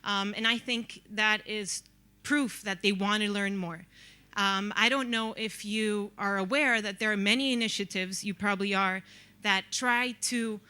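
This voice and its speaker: This is a woman, putting a question to a panel from the audience. Her voice sounds bright and sharp. She speaks hesitantly, with frequent ums and restarts. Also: medium pitch, steady tone, unhurried. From Peru and Costa Rica. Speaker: audience member asking a question. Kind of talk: question from the floor. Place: conference hall.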